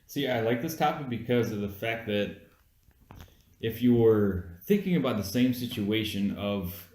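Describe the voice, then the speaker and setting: Low-voiced man in an echoey room. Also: expressive, unhurried, a little mellow. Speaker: amateur movie review co-host. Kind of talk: casual argumentative film chat between friends.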